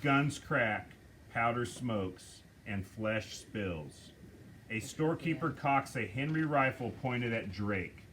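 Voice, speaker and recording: A man reading the stage directions aloud at a script table read, measured and deliberate. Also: mid-range voice, fairly bright tone, a little hiss or hum.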